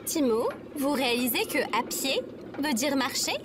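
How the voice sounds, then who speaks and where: A prim female AI sidekick from a comedy audio drama, played by a woman, with a high voice over noisy ambience. She is formal and precise, delivering everything with deadpan sarcasm. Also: moderate pace. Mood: deadpan teasing. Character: prim sardonic flying female AI companion.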